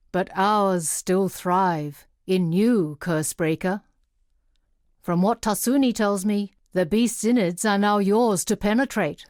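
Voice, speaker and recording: A woman performs a solemn, unyielding female leader of a tribe for a video game. She speaks slowly and formally, weighing each statement with stern dignity. Her pitch sits in the middle for a woman, and the sound is dry, with no room around it. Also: no echo, natural voice. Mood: grave, commanding. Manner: formal, measured, grave, declarative delivery. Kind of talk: scripted video game character voice acting.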